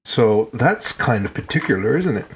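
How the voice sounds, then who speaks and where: A man's voice: fairly low pitch, very animated, normal speed, a little hiss or hum. A man with a Finnish accent who grew up in Hong Kong, a guest on a podcast chatting casually and joking, often restarting his words. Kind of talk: casual chat.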